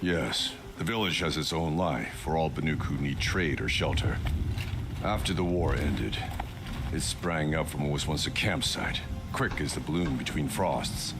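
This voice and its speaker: Very deep, stern warrior chieftain. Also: male voice, unhurried, noisy background. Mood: guarded, grave. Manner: grave, formal, curt. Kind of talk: video game dialogue acting.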